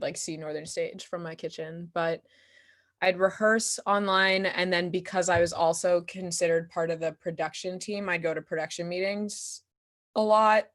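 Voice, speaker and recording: A woman's voice: on the low side, fairly bright tone. A young woman chatting casually and candidly as she answers questions, giggly and self-deprecating. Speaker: actor and playwright answering questions. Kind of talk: casual conversational Q&A.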